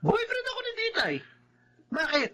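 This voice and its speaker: A man's voice: extremely high pitch, huge pitch swings, normal speed, sharp tone, full character voice. A man playing a cheeky, nonchalant young boyfriend in a Filipino drama. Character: cheeky, unbothered young live-in boyfriend of an older woman. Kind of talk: Filipino radio-style drama acting.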